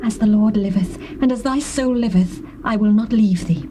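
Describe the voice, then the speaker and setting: A woman's voice: medium pitch, normal speed, dark tone, close to an everyday voice. An actress playing the devout Shunammite woman, speaking earnestly in a dramatized scripture recording with faint tape hiss. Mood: pleading. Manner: earnest, pleading.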